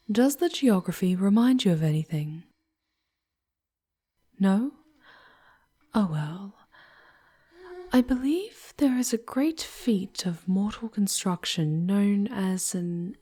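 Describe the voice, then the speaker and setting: A woman playing a charming, teasing demon guide in an ASMR roleplay, speaking intimately in formal, old-fashioned phrases with a breathy, often whispery voice. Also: mid-range voice, dark tone. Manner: slow, formal, old-fashioned storybook diction.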